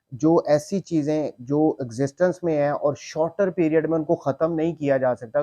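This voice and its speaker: A man with a Pakistani accent teaches in a somewhat high voice. He explains step by step in a didactic way, restating key points and dropping in English legal terms. The sound is dry and close, with little room in it. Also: warm tone, no room sound, dull audio. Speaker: law lecturer.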